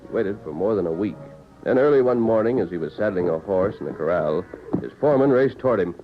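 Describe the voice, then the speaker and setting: A man's voice: on the low side, lively intonation, quick pace. Male radio narrator, dramatic scripted delivery, 1940s western style, dull vintage recording, music behind.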